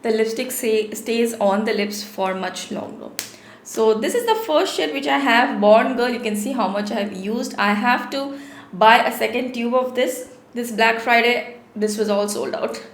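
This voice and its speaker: A woman's voice: on the high side, a little slow, roomy sound, a little hiss or hum. Chatty woman with a sore throat. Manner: chatty, repeats words for emphasis. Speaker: beauty vlogger. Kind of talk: casual product review to camera. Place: small home studio room.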